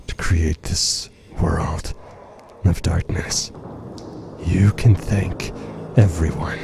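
Man acting very deep, unhinged blinded announcer. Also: creaky, heavily acted. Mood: bitter formal. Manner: theatrical, halting, formal, brooding. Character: unhinged, wounded, blinded male announcer of a dystopia. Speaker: voice actor playing a radio announcer. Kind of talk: dramatic monologue in an audio horror drama. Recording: noisy rumbling ambient bed under the voice.

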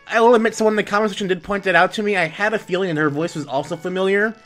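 A woman's voice: mid-range voice, very fast. A woman hosting a let's play, chatting casually and correcting herself as she goes. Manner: chatty, self-correcting, reads dialogue aloud. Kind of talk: casual gameplay commentary. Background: game music.

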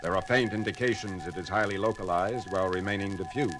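An actor playing a calm, logical male science officer, speaking in a formal, precise, deadpan way in a 1970s audio drama with loud music underneath. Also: deep voice, fast, natural voice. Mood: measured.

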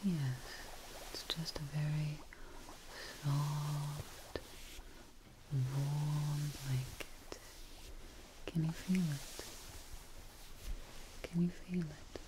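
Young woman, soft ASMR whisper, low-pitched, calm eerie scientist roleplay, soothing, close-miked, noticeable background noise. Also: extremely slow, long pauses. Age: young adult. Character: calm, eerie female creature-maker scientist tending her experiment. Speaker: ASMR performer. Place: small dark indoor setup. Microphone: close microphone.

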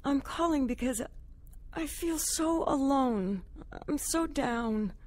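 A woman's voice: high voice, animated, takes it slowly, darkish tone. An actress playing a troubled, despondent mother in her thirties, narrating and acting in an earnest radio drama style.

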